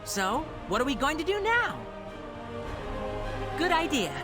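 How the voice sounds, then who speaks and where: A plucky young game heroine in a high, very lively voice. She sounds eager and bubbly with a cocky streak, over clear background music. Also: unhurried. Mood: chirpy. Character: plucky, cheerful young female apprentice. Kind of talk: video game cutscene voice acting.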